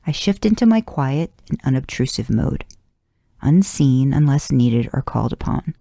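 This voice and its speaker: A woman's voice: low-pitched, vocal fry, mellow, dry recording, clean studio sound. A woman reading a first-person story aloud as narration. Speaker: podcast host reading fan fiction aloud.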